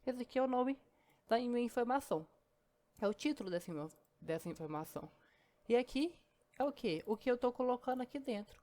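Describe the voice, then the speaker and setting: Mulher mineira explicando código bem rápido. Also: female voice, a little high, expressive, brisk, warm tone. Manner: chatty, explains step by step, keeps saying "ó" and "né". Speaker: programming teacher. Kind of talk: casual screen-recorded coding lesson.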